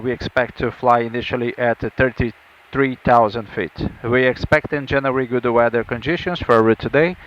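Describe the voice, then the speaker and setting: A man's voice: mid-range voice, a bit bright, smooth. A man speaking as the airline captain gives a formal, courteous announcement from the flight deck over the PA. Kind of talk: pilot welcome announcement. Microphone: flight deck PA heard through cabin speakers. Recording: muffled aircraft PA.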